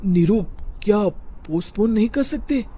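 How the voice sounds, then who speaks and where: A man's voice: high voice, lively intonation, normal speed, dark tone, a little hiss or hum. A man voicing a harried young husband in a cartoon story, hesitant and persuading. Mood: hesitant, worried.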